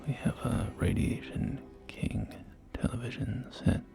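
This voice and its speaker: A man playing a polite, salesy post-apocalyptic real estate agent in a whispered ASMR roleplay, with hesitant, soft-spoken patter. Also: measured pace, close to an everyday voice. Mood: proud, soothing. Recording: close whisper under loud music. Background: loud music.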